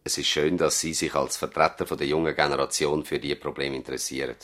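An actor playing a courteous older country doctor in a radio play, speaking formally with a Swiss German accent. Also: male voice, extremely low pitch, level tone, fast talker, a bit bright. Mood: collegial, reminiscing. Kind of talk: 1970s radio drama dialogue.

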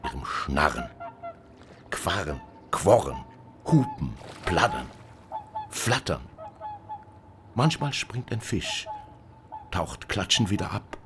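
A man narrating a scripted literary text in a measured, poetic manner. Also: low-pitched, wildly swooping pitch, background noise.

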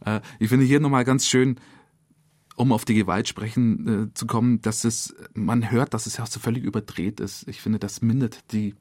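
A man's voice: lowish voice, fairly even intonation, normal speed, darkish tone, dry recording. A man speaking conversationally as a director explaining his work in a studio interview. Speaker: radio drama director, interview guest. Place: dry radio studio.

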